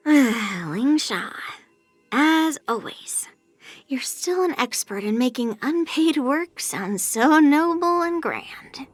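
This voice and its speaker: A woman's voice: high voice, lively intonation, slow, no echo. A woman playing a cocky, blunt teenage swordswoman in a video game, with a smug, needling delivery. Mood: teasing. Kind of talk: scripted anime-style video game dialogue. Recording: background music under dialogue.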